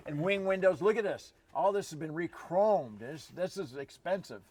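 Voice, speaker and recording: A man's voice: sits high, lively intonation, moderate pace, a little mellow. A man co-hosting as a car expert, giving casual hands-on commentary in short, repeated admiring phrases. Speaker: car show co-host, car expert.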